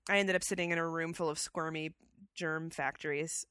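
A woman's voice: deep voice, fairly even intonation, a little fast, a bit bright, clean tone, no room sound, clean studio sound. Chatty woman with a scratchy cold. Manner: chatty storyteller, many fillers. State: has a cold, slightly scratchy. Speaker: podcast co-host. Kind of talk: casual chat. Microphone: headset.

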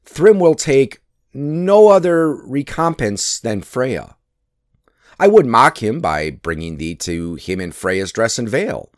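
A man's voice: medium pitch, very animated, slow, no room sound, clean studio sound. A man voicing a cunning, mocking trickster god from a myth, teasing and coaxing as he schemes. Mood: sly persuasion. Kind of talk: dramatic myth storytelling.

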